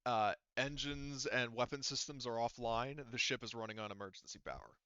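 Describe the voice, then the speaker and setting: A man with a smooth, clear voice runs a tabletop roleplaying game. He talks casually and thinks aloud as he improvises. The sound is close and dry, though a little dull. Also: mid-range voice, normal speed, bright, forceful tone, no echo. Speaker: game master.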